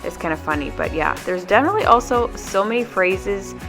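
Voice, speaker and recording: A woman in her thirties, a native Pennsylvania Dutch speaker, chats in a friendly way in a home vlog voiceover and laughs softly now and then. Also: mid-range voice, animated, brisk. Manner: chatty, friendly, often laughs softly, mixes dialect and English. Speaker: vlogger, mother. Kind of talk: casual voiceover over cleaning footage. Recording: voiceover over clear background music.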